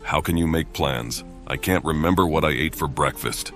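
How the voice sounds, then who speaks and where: A troubled warrior from a fantasy game in a rock-bottom bass. He is grim and sparing with words, blunt in delivery, over soft music. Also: normal speed. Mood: gruff, dry. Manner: terse, blunt.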